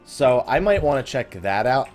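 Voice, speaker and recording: A man hosts a gaming video in a casual, informal way. His mid-pitched voice moves up and down in a lively fashion as he wanders between thoughts and rephrases himself. Faint game music plays under his commentary. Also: moderate pace. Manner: informal, self-correcting, trailing into side thoughts. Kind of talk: casual rambling let's play commentary.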